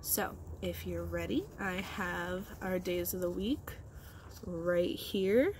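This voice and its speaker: A woman's voice: lowish voice, slow, darkish tone, a little hiss or hum. A woman teacher leading storytime for young children, explaining things simply and prompting them with questions. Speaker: early-grade teacher.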